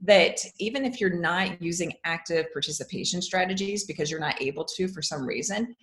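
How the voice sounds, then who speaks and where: An educator on a podcast, a woman with a lowish voice, sharing stories with her hosts. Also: a bit bright. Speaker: podcast guest, educator and author. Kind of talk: conversational interview answers.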